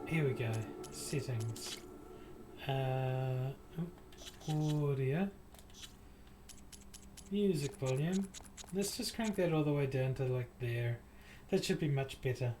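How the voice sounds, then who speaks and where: A man with a New Zealand accent streaming a game, chatting softly and hesitantly with many fillers and corny jokes. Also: mid-range voice, animated, slow pace, a little hiss or hum. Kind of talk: casual gaming livestream chat. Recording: loud game music behind.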